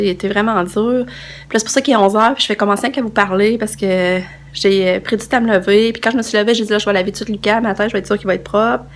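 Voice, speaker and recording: A woman's voice: medium pitch, slight background noise. A young woman with a Québécois French accent, vlogging casually to a handheld phone. She is tired and stuffed up with a cold, and she rambles with many fillers and restarts. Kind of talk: casual vlog chat to camera. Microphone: handheld phone camera.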